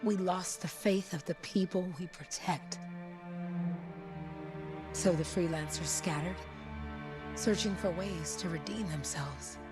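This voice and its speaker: A solemn female storyteller for a game. She narrates gravely and slowly in a lowish pitch. Music plays clearly behind her. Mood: solemn, mournful.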